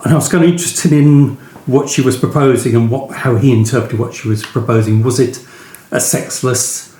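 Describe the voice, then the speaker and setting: A man conducting a conversational book interview, halting and pausing in the middle of his thoughts. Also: mid-range voice, steady tone, dark tone, slight background noise. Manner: halting, pausing mid-question. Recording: roomy, echoey sound.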